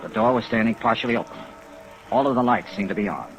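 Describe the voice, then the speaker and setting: A man's voice: on the low side, fast talker, faint music behind, low-quality recording. A man acting a tough, wry, clipped insurance investigator in a 1950s radio detective drama. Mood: wry, dramatic narration. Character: brisk, tough, steady male insurance investigator. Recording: vintage radio recording, steady rain noise.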